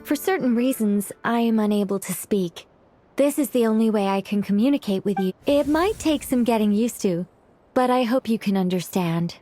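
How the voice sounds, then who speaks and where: High-voiced, formal angel. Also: female voice, lively intonation, moderate pace, dark tone, soft background music. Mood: composed. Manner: courteous, formal. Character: polite, formal female angel, earnest and easily flustered. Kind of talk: video game character acting.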